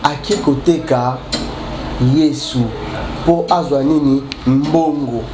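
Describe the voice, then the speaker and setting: High-voiced preacher man with a cold. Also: steady tone. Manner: halting, many restarts and fillers, rising emphatic repetitions. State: has a cold. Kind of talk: sermon mixing French and Lingala. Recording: echoey room with faint ambient noise.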